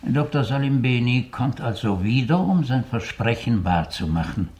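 A man's voice: mid-range voice, lively intonation, moderate pace, a little mellow, slight background noise, lightly acted. An actor playing a courteous man who translates an old manuscript aloud in a radio drama, precise and deferential. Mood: attentive, courteous. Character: polite male translator reading an old Italian manuscript aloud. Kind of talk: radio drama, reading and summarizing.

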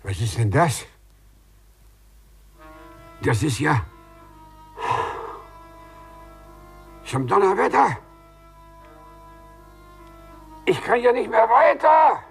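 An actor playing a lovestruck, gentlemanly man with formal, hesitant phrasing in a 1950s German radio play. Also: high-pitched, wildly swooping pitch, a little slow, creaky, full character voice. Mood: alarmed. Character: lovestruck, gentlemanly man who walks through walls. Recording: 1950s radio recording, loud music behind.

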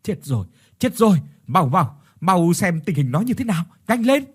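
A man voicing a domineering older mother-in-law with a bossy, sharp manner in a dramatic audio story. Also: fairly high pitch, big pitch swings, quick pace, husky, exaggerated voice. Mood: panicked. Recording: music behind the voice.

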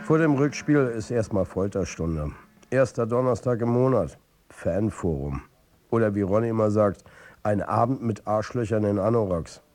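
A man's voice: on the low side, lively intonation, normal speed, a little mellow, faint music behind, natural voice. An actor playing a troubled football coach and family man, narrating his own story in a confiding way in a radio drama. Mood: wry. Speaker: narrator and lead character.

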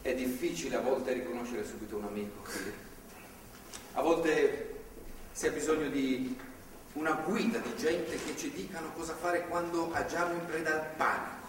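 An actor playing a fervent, controlling middle-aged priest in a stage drama, speaking in a measured, preachy, paternalistic way. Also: male voice, on the high side, lightly acted. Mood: earnest, preachy. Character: fervent, controlling anti-abortion priest holding a woman captive. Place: theatre stage. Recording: echoey theatre hall, distant mic, faint noise.

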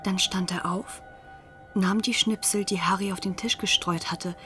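A woman's voice: mid-range voice, steady tone, unhurried, lightly acted. Radio drama narrator: actress playing a woman from a cruel youth gang, mid-voiced, clipped sentences, agitated contempt, light music underneath. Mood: cool, observant. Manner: terse, fragmentary phrasing.

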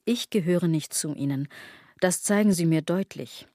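An actress as a low-voiced, brooding, self-critical woman. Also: normal speed, dark tone, dry recording, studio quality, natural voice. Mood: self-deprecating, brooding. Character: self-critical woman brooding obsessively over clothes, body and gods. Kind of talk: literary radio drama monologue.

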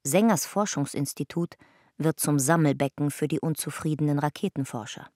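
A woman narrating a radio drama in a measured, clipped documentary style. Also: low voice, darkish tone, no room sound, studio quality. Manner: clipped, measured, reporting in fragments. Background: music.

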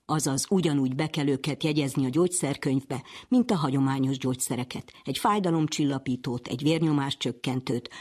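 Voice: medium pitch, a little mellow, breathy edge. A radio presenter, asking articulate, probing questions and reading prepared links. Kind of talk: prepared interview questions and narration.

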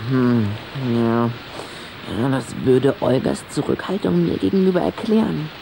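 A man's voice: mid-range voice, expressive, measured pace, creaky. Little vampire boy: touchy, lovesick, theatrical, stammers under strain. Radio play, slight noise. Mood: mournful, softened. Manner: dramatic, stammering when upset. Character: moody, lovesick young boy vampire, quick to rage. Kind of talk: children's radio play acting.

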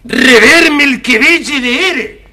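Very high-pitched, silly storybook king. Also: slow, pushed and bright, exaggerated voice. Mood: commanding. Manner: pompous, garbles words by swapping in one vowel. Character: silly, threatening storybook king with a vowel obsession. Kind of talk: recorded children's storybook dramatization.

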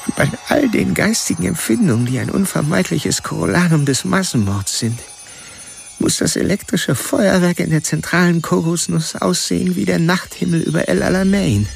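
A man's voice: sits high, expressive, normal speed, vocal fry, slight background noise, exaggerated voice. An actor playing a brooding serial killer who narrates his thoughts in a bookish, sardonic way for a radio drama. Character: brooding male serial killer, intellectual, coldly self-absorbed. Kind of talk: first-person radio drama narration, inner monologue.

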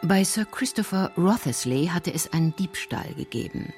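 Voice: medium pitch, expressive, normal speed, a little mellow, faint music behind. A narrator reading scripted literary prose for a radio crime drama.

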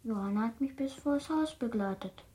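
A female performer playing a shy, obedient little schoolgirl in a radio play, breathy, stilted and reserved. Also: a little high, normal speed, mellow. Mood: shy, dutiful. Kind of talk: German radio play acting.

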